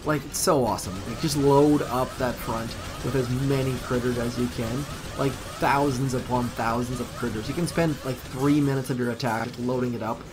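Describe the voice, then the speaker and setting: A man's voice: mid-range voice, fairly even intonation, normal speed, hardly any pauses, music in the background, poor audio. A man, likely in his thirties, casually commenting on his own mobile gameplay at home, thinking aloud and poking fun at himself. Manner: chatty, self-deprecating, thinks aloud. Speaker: gaming channel host. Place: home room.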